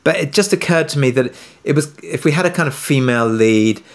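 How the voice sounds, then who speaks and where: A man speaking conversationally as an interviewed producer, halting and self-effacing, often rephrasing as he goes. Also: a little high, expressive. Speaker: audio drama writer and producer being interviewed.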